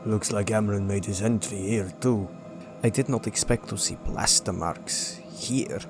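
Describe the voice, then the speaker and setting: Guy as a big calm alien crewmate, lowish measured voice, dry, music going behind. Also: close to an everyday voice. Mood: thoughtful. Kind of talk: comic dub character acting.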